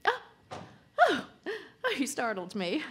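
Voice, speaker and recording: A woman's voice: fairly high pitch, wildly swooping pitch, takes it slowly, fairly bright tone, full character voice. A woman playing a vain, bossy romance author and nagging wife in a live radio drama, theatrical and self-important. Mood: coy, flattered.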